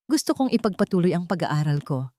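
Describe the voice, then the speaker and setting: A gentle, resolute young wife voiced by a woman storyteller speaks in a fairly low, expressive voice. It is a dry recording of a romantic audio drama. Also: fairly quick, no room sound. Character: determined, soft-spoken young wife and mother.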